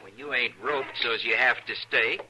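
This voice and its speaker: A man's voice: mid-range voice, normal speed, bright, forceful tone, a little hiss or hum, rough recording. A man playing a taunting gunfighter villain in a radio western, with an American Western accent and a sneering, insolent manner. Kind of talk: 1950s radio western acting. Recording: old radio recording.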